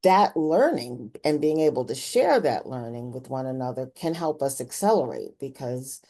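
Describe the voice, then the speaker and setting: Low-voiced woman interviewer, mellow. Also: expressive, moderate pace. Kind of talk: conversational community interview.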